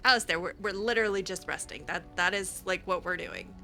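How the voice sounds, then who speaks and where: A woman improvising in-character dialogue as she plays a roleplaying game, halting and repeating words. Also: fairly low pitch, sharp tone, soft background music.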